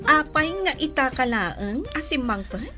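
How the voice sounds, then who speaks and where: A woman's voice: sits high, animated, measured pace, over music. A woman acting a jealous, demanding young woman who scolds and questions. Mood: suspicious, scolding. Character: jealous, suspicious, possessive young woman Carla. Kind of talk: Ilocano radio drama acting.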